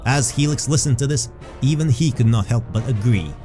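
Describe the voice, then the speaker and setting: A man narrating a scripted fantasy story as a measured storyteller. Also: medium pitch, mellow. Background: background music.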